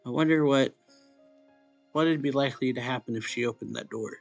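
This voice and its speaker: Gravelly-voiced man reading haltingly over music. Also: medium pitch. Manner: halting delivery, stumbling and rereading lines. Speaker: let's play host. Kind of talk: reading a visual novel aloud.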